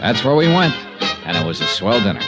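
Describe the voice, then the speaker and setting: An actor playing a tough, wry insurance investigator in a 1950s radio drama, with a clipped, hard-boiled delivery. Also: male voice, fairly low pitch, very animated, fairly quick. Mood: wistful, reflective. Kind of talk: 1950s radio detective drama, first-person narration. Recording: muffled 1950s radio recording with music.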